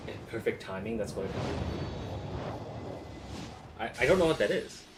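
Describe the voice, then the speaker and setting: A man's voice: lowish voice, animated, moderate pace, background noise. A man streaming a video game with casual, slangy, sweary reactions and muttered asides. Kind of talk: casual reacting commentary over gameplay.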